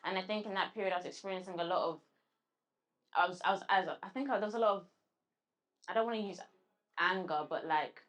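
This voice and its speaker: Woman spoken word poet, mid pitch, stops and restarts a lot when talking. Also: a bit bright. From London. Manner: halting, searching for words with restarts when answering. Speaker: guest poet. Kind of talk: spoken word recital and interview answers.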